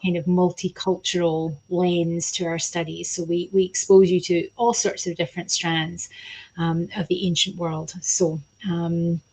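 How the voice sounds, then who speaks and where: A woman's voice: lowish voice, little pitch movement, unhurried, a little mellow, rough recording. A woman hosting a live online panel as program convener, chatty, with many fillers, speaking over a video call.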